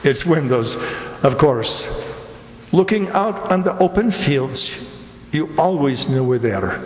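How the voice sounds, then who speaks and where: A man playing a clever, courtly, sly police commander in a stage radio mystery, deliberate and theatrical. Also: mid-range voice, slight background noise. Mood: triumphant. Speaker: amateur radio player. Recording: echoey hall, live stage performance.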